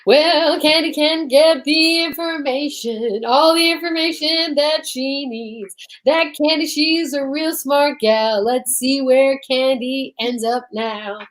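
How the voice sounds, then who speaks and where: A playful singsong commentator, performed by a woman in an old-time radio mystery. The voice is fairly high and takes its rhymes slowly. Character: playful singsong commentator cheering on a girl sleuth. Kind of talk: rhyming interludes in old-time radio drama.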